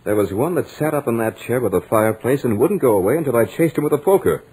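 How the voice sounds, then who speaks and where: A man playing a scholarly gentleman lodger, narrating a haunted-house ordeal with dramatic, deliberate emphasis in 1940s radio style. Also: mid-range voice, animated, husky, rough recording. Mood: amused, polite. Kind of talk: 1940s radio horror acting, first-person narration. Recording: vintage 1940s radio recording, music behind.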